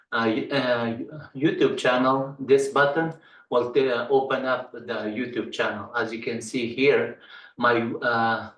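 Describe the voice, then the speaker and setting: Middle-aged tutorial instructor from Afghanistan, native Persian speaker, halting delivery with fillers, flat tone, far-off echoey room. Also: male voice, mid-range voice. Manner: halting, many ums and uhs. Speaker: computer science instructor. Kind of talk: screen-share tutorial walkthrough.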